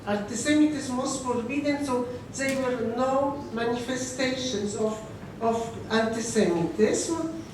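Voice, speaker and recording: A woman in her sixties from Poland, whose first language is Polish, speaks with a Polish accent. She gives a personal talk to an audience, halting and restarting often. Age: 60s. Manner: halting, with frequent restarts and fillers. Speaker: guest speaker. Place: synagogue hall. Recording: echoey hall from far off with rumble.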